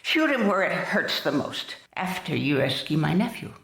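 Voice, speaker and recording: A woman playing a stern, vengeful aunt in a radio drama, pointed and deliberate, in a roomy echoing space. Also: sits low, very animated, vocal fry, very noisy, exaggerated voice. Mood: cold, intent. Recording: roomy, echoing studio sound.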